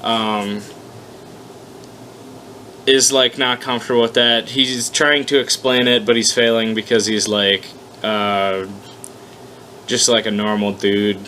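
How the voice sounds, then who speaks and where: A man's voice: on the low side, steady tone, slow, long pauses, sharp tone. A man hosting an unscripted reading of his own story, with casual, profane, self-deprecating commentary. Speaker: host reading own fan story aloud. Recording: noticeable background noise, amateur one-take recording.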